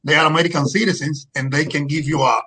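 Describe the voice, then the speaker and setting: A Cuban man in his forties speaks English with a Cuban Spanish accent in a casual interview. His voice sits high for a man and has a husky edge. He talks haltingly, doubling words as he hunts for phrases in his second language. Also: steady tone, bright, forceful tone, poor audio. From Cuba. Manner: halting, repeats words while searching for English. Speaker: guest.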